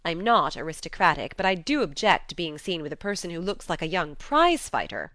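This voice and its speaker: A woman plays a blunt, tomboyish young woman from a storybook, in a smooth, clear voice with very dry sound. She is hearty and earnest as she admonishes. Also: lowish voice, sing-song, brisk, fairly bright tone, no echo. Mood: severe. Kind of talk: audiobook character dialogue.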